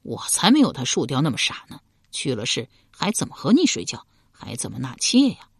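A woman's voice: very deep voice, huge pitch swings, fairly quick, creaky, breathy edge, no echo, clean studio sound, exaggerated voice. A woman storyteller playing a ruthless, ambitious husband from ancient times, domineering and coldly persuasive. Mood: mocking.

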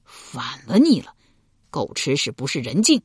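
A woman's voice: extremely low pitch, big pitch swings, fairly quick, slightly rough, no room sound, clean studio sound, heavily acted. A woman storyteller playing a ruthless, ambitious husband from ancient times, domineering and coldly persuasive. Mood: angry, domineering.

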